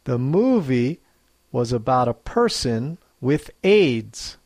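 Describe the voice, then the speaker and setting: A teacher hosting a guessing quiz for learners, speaking very slowly and spacing every word. Each clue is read twice with a lively, rising and falling pitch. The sound is dry and close, with no room around it. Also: no echo. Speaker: English teacher. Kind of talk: slow quiz dictation for learners.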